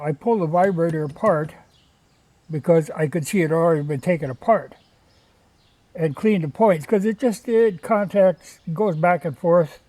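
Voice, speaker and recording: Folksy guy rambling thru a casual show and tell, voice a bit on the high side. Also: darkish tone, rough recording. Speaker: vlogger showing old radios from a junk collection.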